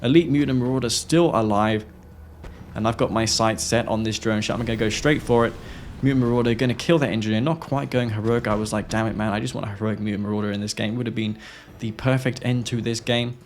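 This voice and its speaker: A man's voice: on the low side, steady tone, fast talker. Man with sore throat commentating gameplay, noisy background. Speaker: strategy game commentator and player. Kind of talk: gameplay commentary of own match.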